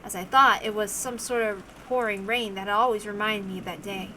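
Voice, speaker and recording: A woman's voice: mid-range voice, fairly even intonation, a little fast, bright, forceful tone, slight background noise, poor audio. A woman reading a visual novel aloud as scripted, dramatic narration.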